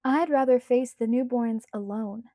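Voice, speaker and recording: Exasperated, stubborn young woman, read by a woman; slow, protesting delivery. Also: on the high side, mellow, dry recording, studio quality, natural voice. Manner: groaning, protesting.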